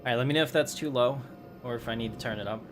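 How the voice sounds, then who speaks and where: A man's voice: medium pitch, very fast, over music. A man hosting a game livestream, chatting casually as he plays. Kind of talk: casual chat over gameplay. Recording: clearly noisy livestream recording.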